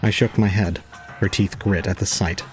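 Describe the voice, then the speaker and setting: A man playing a detached, resigned young student, narrating solemnly and calmly in an audio drama. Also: sits low, moderate pace, loud music behind the voice, lightly acted. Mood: brooding. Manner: solemn, calm, unemotional. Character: detached, resigned young male student recounting his own ordeal. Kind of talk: scripted first-person audio drama narration.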